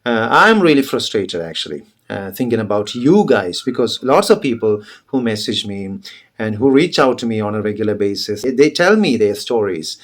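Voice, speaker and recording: A man's voice: sing-song, normal speed. A young adult man whose first language is Malayalam, giving an earnest, insistent motivational talk to English learners, repeating his points and pushing up his voice for emphasis. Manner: earnest, insistent and repetitive, rising in pitch when stressing points. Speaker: English teacher.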